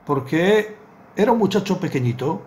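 Earnest middle-aged rabbi telling a parable. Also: male voice, sits high, expressive, moderate pace, a little mellow. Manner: earnest storytelling, repeats words for emphasis. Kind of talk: Torah lesson with storytelling. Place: small indoor study with bookshelves.

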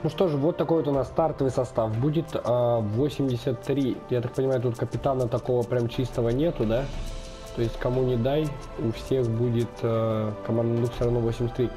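A man's voice: medium pitch, steady tone, a little fast, over music. A young man hosting a gaming channel, chatting casually as he plays a football management game, thinking aloud with lots of fillers, his voice a little off from being slightly ill. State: slightly unwell, voice affected. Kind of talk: casual let's-play commentary.

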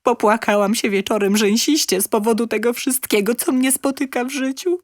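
A woman's voice: fairly high pitch, fairly even intonation, normal speed, no echo. Woman reading a story, close and dry. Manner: theatrical, emotive delivery. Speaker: reader of a short story. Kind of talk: dramatic first-person storytelling, scripted narration.

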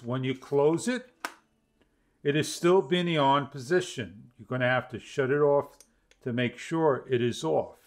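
A man in his fifties giving an instructional product demonstration to camera, with halting phrasing. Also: mid-range voice. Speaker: product reviewer. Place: small home studio room, indoors.

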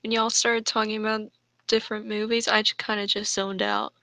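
A woman's voice: mid-range voice, flat delivery, brisk, sharp tone, no room sound, rough recording. A woman co-host in casual chat, reading a story aloud and commenting on it.

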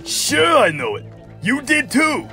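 A man's voice: extremely high pitch, wildly swooping pitch, slow, sharp tone, faint music behind, exaggerated voice. A man voicing a brash, sarcastic teenage mutant turtle fighter in a cartoon, goading and wisecracking. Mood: needling. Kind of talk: cartoon action acting.

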